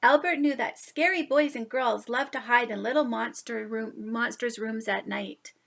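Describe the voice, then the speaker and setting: High-pitched woman reading a children's story. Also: vocal fry. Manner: animated, drawn-out emphasis, asides to listeners. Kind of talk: expressive picture-book read-aloud for young children.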